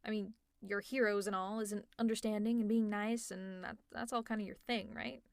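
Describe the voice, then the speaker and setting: A woman's voice: medium pitch, steady tone, moderate pace, gravelly, darkish tone, no room sound, natural voice. A woman playing a protective, teasing female villain in a scripted ASMR roleplay, with a sardonic, exasperated but caring manner. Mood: awkward, reassuring. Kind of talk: scripted roleplay ASMR, one-sided dialogue.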